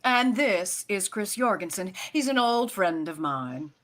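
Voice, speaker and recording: Fretful socialite mother, female actor, vintage radio mystery: gushing, animated, coaxing, melodramatic. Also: mid-range voice, a little slow. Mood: gracious. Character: dramatic, fretful society mother, wheedling and self-pitying. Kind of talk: 1930s radio drama acting.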